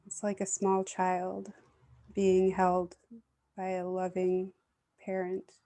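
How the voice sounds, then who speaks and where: Meditation guide, female, soothing and even-toned with creaky vocal fry, unhurried delivery. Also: lowish voice. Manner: gentle, soothing, unhurried with long pauses.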